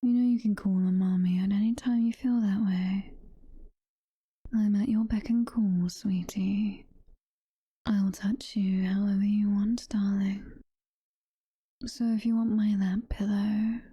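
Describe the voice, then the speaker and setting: A British woman voicing a sweet, motherly, possessive demon girlfriend in a slow, cooing, breathy whisper for intimate ASMR roleplay. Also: mid-range voice, steady tone, mellow, no echo. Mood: tender, coaxing. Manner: slow, cooing, full of pet names.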